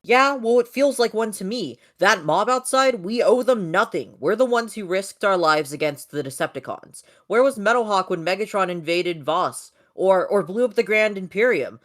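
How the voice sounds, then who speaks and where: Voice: medium pitch, expressive, normal speed, hardly any pauses, a bit bright, pitched above the performer's own voice, lightly acted. Someone acting out an agitated, bitter robot strategist. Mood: angry, bitter. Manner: agitated, argumentative. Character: outraged male robot security strategist, abrasive and bitter. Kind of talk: amateur comic dub read-through.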